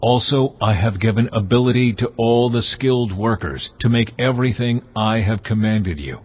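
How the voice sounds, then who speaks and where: An actor playing the Lord God as a solemn, stern, commanding deity in a dramatized scripture reading, measured and deliberate. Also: male voice, lowish voice, breathy edge, no room sound. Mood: solemn, instructing. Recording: dull band-limited sound with music behind. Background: background music.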